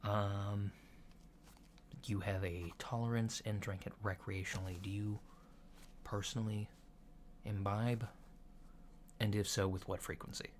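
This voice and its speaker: Slow, soft-spoken alien doctor played by a man. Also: fairly low pitch, steady tone, lightly acted. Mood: calm, reassuring. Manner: hesitant, methodical, reassuring clinical questioning. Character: polite, unhurried human-looking alien doctor giving a checkup. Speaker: ASMR performer. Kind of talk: soft-spoken ASMR roleplay. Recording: lo-fi with clear background noise.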